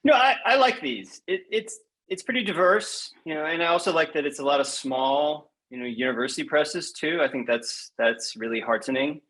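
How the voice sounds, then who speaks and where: A man's voice: a little high, moderate pace, fairly bright tone, rough recording. Frank, emphatic man in casual interview. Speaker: poet interviewed as podcast guest.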